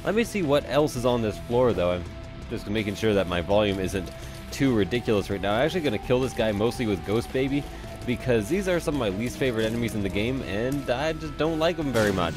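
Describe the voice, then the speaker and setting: A man's voice: medium pitch, animated, fast, music in the background. A congested young man giving casual, rambling let's-play commentary and cursing now and then. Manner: rambling, chatty, swears casually. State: stuffy, congested. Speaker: gameplay commentator.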